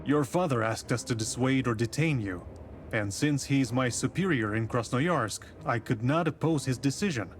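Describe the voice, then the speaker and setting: Man acting a tsarist-era detective, visual novel, polite, measured, formal, medium pitch, faint train noise behind. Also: natural voice. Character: composed male police detective from the capital in tsarist Russia.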